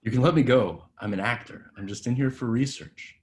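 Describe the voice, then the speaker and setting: A man's voice: mid-range voice, a little fast, close to an everyday voice. A man at a table read playing a cocky, crude young actor who taunts people and talks himself up. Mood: cocky, pleading. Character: cocky, mocking hot-shot young male actor in trouble.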